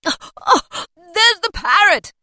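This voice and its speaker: A woman's voice: mid-range voice, animated, moderate pace, dry recording, lightly acted. An old miser played by a woman from a storybook, full of tearful nostalgia. He exclaims and stammers in an emotional voice, somewhere between laughing and crying, recorded close and dry. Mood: ecstatic.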